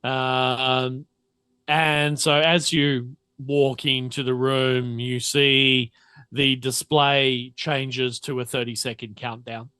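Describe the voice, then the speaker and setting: Crisp, bright-voiced man, unhurried. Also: mid-range voice, little pitch movement, dry recording. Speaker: game master. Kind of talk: narrating a tabletop roleplaying game.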